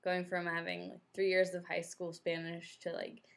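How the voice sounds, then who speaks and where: A woman's voice: lowish voice, monotone, moderate pace, vocal fry. A young American woman answering interview questions in a chatty, casual way, leaning on filler words. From United States. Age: young adult. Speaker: interviewee, former exchange student.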